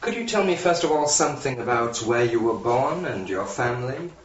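A man's voice: medium pitch, expressive, fairly quick. A man asking brief, polite questions in an oral history interview. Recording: distant roomy voice on dull old tape.

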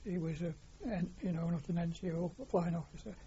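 An older man with a Lancashire accent, reminiscing as a war veteran in an interview, pausing often on fillers. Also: fairly high pitch, fairly even intonation, mellow. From Lancashire, England. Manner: hesitant, many filler pauses. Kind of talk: oral history reminiscence. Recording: dull lo-fi recording.

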